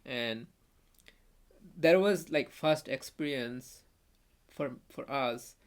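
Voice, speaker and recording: A young man from Bangladesh with a Bangladeshi accent, a native Bengali speaker, telling a story as a podcast guest in a halting way, full of restarts and fillers. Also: medium pitch. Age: young adult. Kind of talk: casual conversational storytelling.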